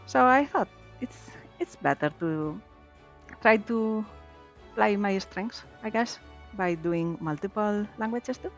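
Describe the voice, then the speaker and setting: A woman's voice: medium pitch, lively intonation, takes it slowly. Chatty woman streamer, Catalan native. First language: Valencian/Catalan. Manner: chatty, laughs easily, reads game text aloud. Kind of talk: casual chat while gaming. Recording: slightly dull lo-fi stream audio. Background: faint game music.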